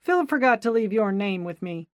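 Woman reading a chirpy, brisk female receptionist, dry read. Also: medium pitch, animated, no echo, lightly acted.

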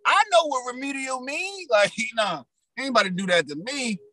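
A raspy young man telling his story in loose, slang-heavy casual talk as a rapper guest. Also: very high-pitched, expressive, normal speed, bright, forceful tone, no echo, studio quality.

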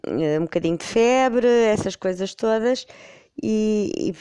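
A woman's voice: medium pitch, animated, measured pace, mellow, smooth, dry recording. A middle-aged woman with a European Portuguese accent, congested with a cold, telling a personal story in a rambling, amused way on her podcast. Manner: rambling, self-correcting, amused. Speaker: podcast host.

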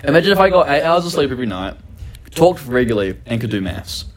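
A man's voice: mid-range voice, very animated, quick pace. A man with a New Zealand accent, co-hosting a podcast in casual chat, stammering, joking and swearing casually. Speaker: podcast co-host.

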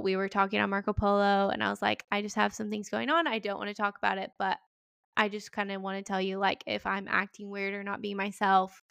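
A woman in her mid-twenties talks with a close friend, earnest and heartfelt, dotting her sentences with "like." Her voice has a slight gravelly fry yet stays smooth. The sound is close and dry. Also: medium pitch, dry recording. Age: 20s. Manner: warm, earnest, lots of "like" fillers. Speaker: podcast co-host, teacher. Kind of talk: casual chat between friends.

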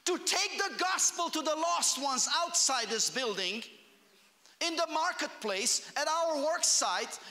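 A fervent male preacher, his voice very high and bright. He speaks slowly but with a lively, swooping pitch, rising to shouts on key phrases. The sermon rings out with long hall reverb. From Romania. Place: church hall.

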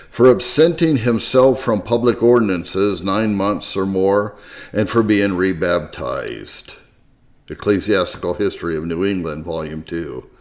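Male narrator, lowish pitch, formal measured read of historical church material, phrases settling downward. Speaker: narrator and reader of historical readings. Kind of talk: scripted narration, reading church history aloud.

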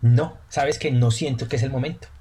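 A man's voice: medium pitch, steady tone, moderate pace, mellow, a little hiss or hum. A Colombian man, a pastry chef, speaking as a podcast guest in an earnest way, often repeating words as he searches for them. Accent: Colombian Spanish. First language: Spanish. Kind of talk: casual podcast interview.

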